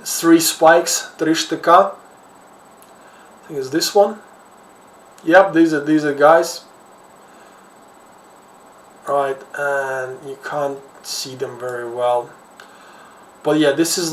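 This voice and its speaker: A middle-aged man with a Ukrainian accent talks in a loose, conversational way, circling back over his words. His voice sits at a medium pitch. It is recorded in an echoey room, away from the mic, with faint noise behind it. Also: distant mic. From Kherson, Ukraine. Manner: rambling, conversational, repeats words while searching for phrasing. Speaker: social commentator, host. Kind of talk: informal off-the-cuff news commentary.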